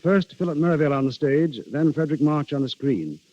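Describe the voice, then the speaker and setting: A man speaking as a guest writer in a scripted 1930s radio interview, formal and measured. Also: a little high, lively intonation, mellow. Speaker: guest playwright. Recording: muffled 1930s radio broadcast.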